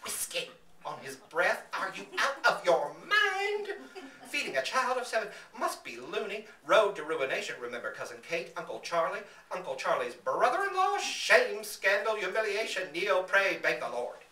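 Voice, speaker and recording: Man putting on furious, scolding pious relatives, loud. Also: high voice, wildly swooping pitch, moderate pace, voice pushed up, heavily acted. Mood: outraged, scolding. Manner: declaiming, sharp, wrathful. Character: scandalized, scolding, pious older relatives in a fury. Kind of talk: acted storybook reading. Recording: roomy, distant mic, faint background noise.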